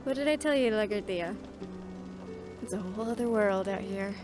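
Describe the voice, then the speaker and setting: A woman's voice: mid-range voice, normal speed, natural voice. A woman playing a guarded, stressed young woman in a fantasy audio roleplay, speaking conversationally and with some hesitation. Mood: resolute. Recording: music behind the voice.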